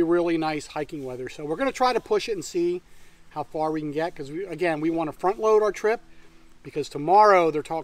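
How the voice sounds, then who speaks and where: A middle-aged man chatting casually on a backpacking vlog, talking outdoors in a forest into a close camera, relaxed and thinking aloud. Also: fairly high pitch. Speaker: backpacking vlogger. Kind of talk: casual trail vlog narration. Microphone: camera at close range.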